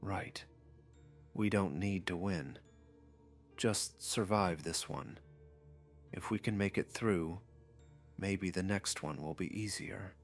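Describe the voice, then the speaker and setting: A man's voice: low-pitched, slow pace, pauses often, soft background music, exaggerated voice. A man voicing a level-headed girl companion in an anime audiobook, plain, practical and reassuring.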